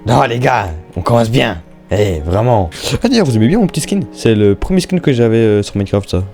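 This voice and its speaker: A man's voice: mid-range voice, animated, brisk, darkish tone, soft background music. A young man giving casual, slangy Minecraft let's-play commentary, chatting and thinking aloud as he plays. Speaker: gaming YouTuber.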